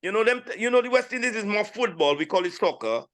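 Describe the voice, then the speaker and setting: A man in his sixties with a St. Lucian accent, telling his story casually as an interview guest, animated and repeating phrases for emphasis. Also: high-pitched, fast talker. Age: 60s. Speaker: interview guest, steel pan player.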